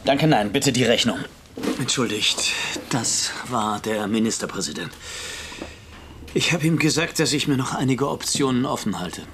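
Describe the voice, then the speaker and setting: A man's voice: mid-range voice, expressive, normal speed, background noise, lightly acted. An actor in a German radio drama playing a burdened middle-aged politician, an earnest, insistent and controlling father. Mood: placating.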